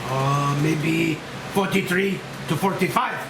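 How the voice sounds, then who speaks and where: Guy playing polite curious doctor, highish voice, roomy and noisy. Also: takes it slowly. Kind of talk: movie script table read. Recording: echoey room, clear noise.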